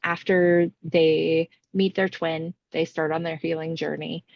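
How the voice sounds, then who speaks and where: Female podcast co-host. Slightly low, fry-heavy voice, emphatic explainer. Dry, slightly dull recording. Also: little pitch movement, unhurried, no room sound. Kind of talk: casual explanatory podcast talk.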